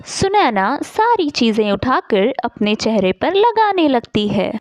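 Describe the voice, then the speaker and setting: A woman narrator tells a scripted moral story. Her high voice moves slowly with lively pitch swings, recorded close and dry. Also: no echo.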